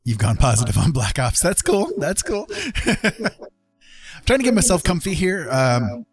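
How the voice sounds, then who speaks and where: A man's voice: a little high, huge pitch swings, a little slow, slightly rough, no room sound, studio quality, soft background music. A man hosting a casual livestream, chatting in a jokey, rambling way. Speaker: livestream host and artist.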